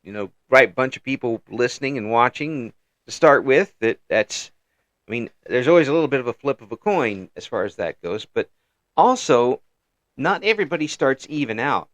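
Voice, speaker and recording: An older man hosting a casual interview, halting and repeating words as he goes, with frequent chuckles. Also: mid-range voice, animated, fairly bright tone, dry recording. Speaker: interview host.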